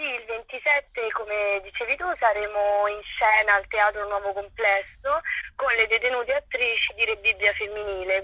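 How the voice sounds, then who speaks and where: A woman's voice: medium pitch, husky. A woman theatre director being interviewed over the telephone, explaining her work earnestly. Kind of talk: phone interview about own work. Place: phone call to a broadcast. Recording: tinny, echoey telephone line.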